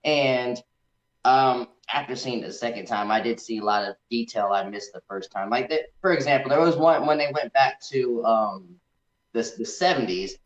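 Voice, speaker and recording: A man's voice: medium pitch, normal speed. A man chatting informally as a guest film commentator, in a casual, colloquial style. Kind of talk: casual chatty movie discussion. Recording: echoey room, dull lo-fi audio.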